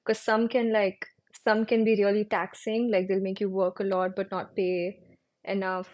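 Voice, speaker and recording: A young woman from India, a physical therapist, answering interview questions in a relaxed, conversational way, often hedging with "like" and "you know". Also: medium pitch, moderate pace.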